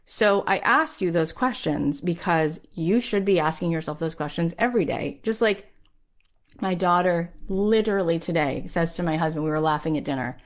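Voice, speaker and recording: A woman's voice: on the low side, normal speed. A woman in her thirties, a podcast host giving an emphatic, rallying motivational talk in a conversational way.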